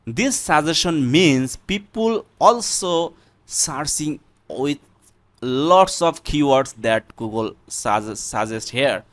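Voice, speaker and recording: Slow, halting Bangladeshi man giving a tutorial. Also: fairly high pitch, lively intonation, fairly bright tone. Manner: halting, repetitive, step-by-step explaining. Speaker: tutorial presenter. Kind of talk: unscripted screen-recorded tutorial.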